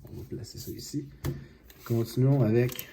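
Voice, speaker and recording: A man hosting a card-opening video, unhurried and excited over big pulls. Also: mid-range voice, a little hiss or hum. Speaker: card collecting channel host. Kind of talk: casual card-opening vlog. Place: home, at a card-opening mat.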